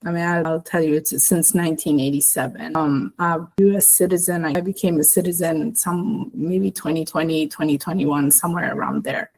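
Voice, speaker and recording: A woman from Ethiopia speaking to an online audience over a video call, thoughtful and unhurried, with many pauses. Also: lowish voice, low-quality recording. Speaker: guest speaker. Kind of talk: personal talk to a webinar audience.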